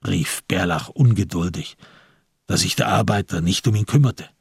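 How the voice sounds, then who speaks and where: Husky, low-voiced male radio drama narrator. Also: normal speed, no echo, studio quality.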